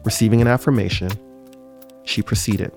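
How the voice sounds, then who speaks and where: A man's voice: on the low side, normal speed, darkish tone, faint music behind. Man narrating a history segment. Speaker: podcast host.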